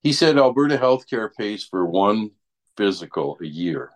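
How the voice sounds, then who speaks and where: An older man, chatting casually and haltingly, often checking in with "right?". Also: fairly low pitch, big pitch swings. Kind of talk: casual chat.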